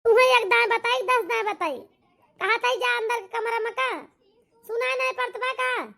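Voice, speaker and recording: A woman voicing a harsh, scolding, ungrateful grown daughter in an amateur cartoon, with an Awadhi accent, a bossy manner and a pitched-up voice. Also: steady tone, normal speed, vocal fry, heavily acted. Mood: angry, contemptuous. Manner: sharp, bossy, contemptuous. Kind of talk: amateur cartoon comedy-drama acting.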